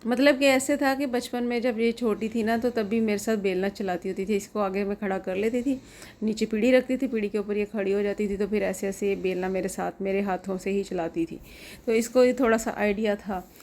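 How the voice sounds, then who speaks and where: A woman's voice: medium pitch, steady tone, brisk, darkish tone. A middle-aged woman from Pakistan with a sore throat, chatting to viewers in a casual home vlog from her kitchen. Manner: chatty, homely, explaining to viewers. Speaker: vlogger.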